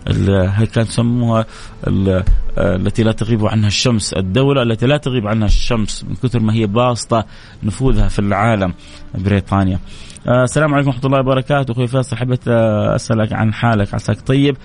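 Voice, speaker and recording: Man, radio host, gravelly, rapid, reads listener messages and blesses each one. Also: on the low side, darkish tone, slight background noise. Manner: reads names and cities aloud, answering each with a blessing. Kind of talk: live radio shout-outs reading listener messages.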